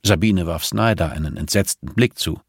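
A man with a gravelly, husky voice reading a thriller audiobook as a dramatic narrator. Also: low voice, normal speed, no room sound, clean studio sound.

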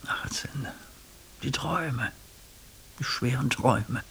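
An actor playing an educated, sceptical German jurist in a radio play, talking in a measured, bookish, questioning way with a gravelly, husky voice. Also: male voice, mid-range voice, fairly bright tone, whispered. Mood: weary, brooding.

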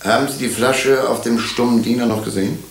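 An actor playing a courteous, methodical police inspector who questions suspects formally in a radio drama. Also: male voice, medium pitch, steady tone, gravelly, slight background noise, lightly acted. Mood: probing. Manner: formal, probing, deliberate. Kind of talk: German radio drama acting. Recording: roomy, echoing radio drama studio.

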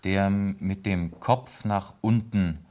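Police lieutenant, low voice, formal, careful, dutiful, reading a report, radio drama. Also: male voice, takes it slowly, dark tone. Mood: formal, sober. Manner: formal, careful, hesitant between items. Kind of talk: German radio play acting.

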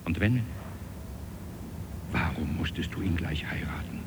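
Older possessive patriarch in eighties radio play: very low, accusing, self-important, clear tape noise. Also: male voice, measured pace. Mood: reproachful. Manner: accusing, probing. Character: possessive, disapproving, self-important father of Anna. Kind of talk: 1980s German radio drama acting. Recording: noisy cassette copy of radio play.

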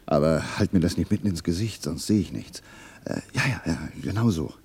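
Ein Schauspieler spielt im Hörspiel einen sturen, herrischen Soldaten mit kratziger Stimme, schroff und eindringlich. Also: male voice, sits low, expressive, fairly quick, darkish tone, husky, full character voice. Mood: commanding. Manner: brusque, colloquial, insistent. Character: Larrain, stubborn, commanding, excitable male soldier comrade. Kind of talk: German radio play acting.

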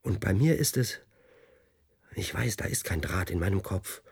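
A man acting a haunted physicist in a German radio play, speaking in an introspective, halting and at times declamatory manner. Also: deep voice, expressive, gravelly, a little mellow, breathy edge, heavily acted. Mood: anguished, confused. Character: troubled male physicist, haunted and brooding, lecturing on particles.

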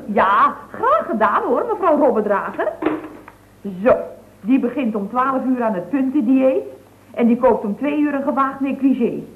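A woman's voice: high voice, lively intonation, measured pace, narrow, tinny audio, noisy background. A woman playing a chatty, mischievous office secretary in an old radio comedy, gossipy, teasing and mock-innocent. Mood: gleeful. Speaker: actress in a radio comedy serial. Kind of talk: 1970s radio comedy acting.

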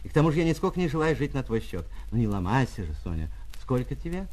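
A man's voice: mid-range voice, big pitch swings, quick pace, darkish tone. An actor playing a sentimental male lodger who gets fussy and flustered over money in an audio story. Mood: breezy, tender.

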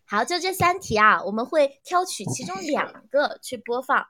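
A woman hosting a casual, lively online game segment, chatting informally with guests and listeners. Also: high voice, normal speed. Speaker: host of audio drama fan event livestream.

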